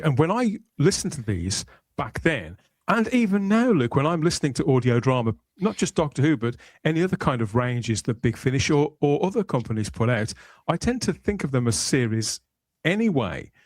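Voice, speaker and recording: A man's voice: mid-range voice, big pitch swings, normal speed, no echo. A man hosting a podcast, moving between conversational talk and polished scripted narration with wordy, theatrical phrasing. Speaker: podcast host.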